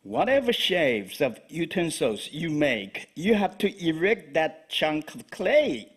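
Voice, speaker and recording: A man with a Chinese accent, whose first language is Chinese, giving a live poetry reading in a halting way with frequent fillers. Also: fairly high pitch, sing-song, husky. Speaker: poet.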